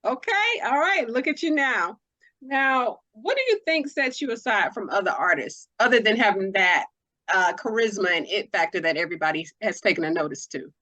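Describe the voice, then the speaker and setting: A woman works as host and interviewer in a casual conversation. Her voice is mid-pitched. She puts her questions in a playful, informal way. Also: animated, normal speed, bright, forceful tone.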